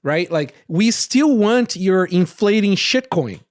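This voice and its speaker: A man from Brazil hosting a casual roundtable podcast, rambling and often tagging his points with quick questions. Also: high-pitched, animated. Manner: rambling, frequent tag questions. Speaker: podcast host.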